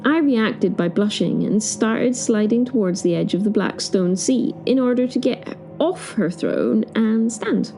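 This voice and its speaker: A woman playing a timid, flustered professor, telling her story in the first person with expressive, hesitant, wry asides. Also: a little high, a little slow, creaky, faint music behind. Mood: uneasy, descriptive.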